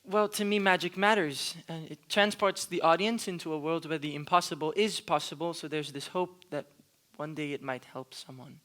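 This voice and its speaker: A man's voice: a little high, normal speed, hardly any pauses, fairly bright tone, lightly acted. A young man acting in a school musical as an earnest, hesitant and reserved magician. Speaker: stage actor and singer in a school musical.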